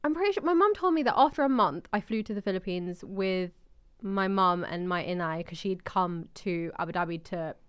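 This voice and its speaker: A woman's voice: on the low side, lively intonation, a little fast, clear, resonant tone. A young woman, co-hosting a podcast and telling stories in a rambling, hedging way. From England. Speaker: podcast co-host. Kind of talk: casual chat.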